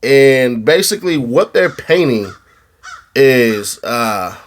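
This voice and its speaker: A man from California giving casual, animated commentary on a trial, slangy and freely swearing. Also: mid-range voice, takes it slowly. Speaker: online commentator.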